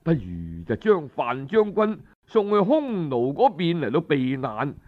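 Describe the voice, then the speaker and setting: A man with a Cantonese accent voicing a cautious court tutor earnestly advising his prince in a measured, admonishing way. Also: fairly high pitch, huge pitch swings, phone-quality sound, voice pushed down, exaggerated voice. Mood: worried, admonishing.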